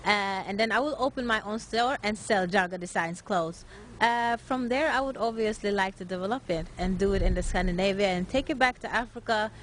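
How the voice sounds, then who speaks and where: A woman's voice: mid-range voice, a little fast, a little hiss or hum. A nineteen-year-old woman from Norway, a young fashion designer answering interview questions earnestly with many hesitant filler sounds. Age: late teens.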